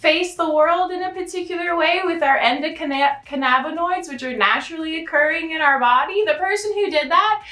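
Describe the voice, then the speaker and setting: A theatrical storytelling host with an American accent and a high feminine voice, delivering satire in sarcastic mock sweetness. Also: normal speed, few pauses, bright, forceful tone. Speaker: storytelling host in a drag elf persona. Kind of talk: satirical storytime to camera, mock fairy tale. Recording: roomy, echoing sound.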